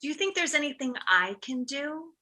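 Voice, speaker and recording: Actress as a bright, sharp-voiced anxious mother. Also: high voice, lively intonation, normal speed. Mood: worried. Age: middle-aged. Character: anxious, overprotective, combative mother of a teenage boy. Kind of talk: comedy script table read.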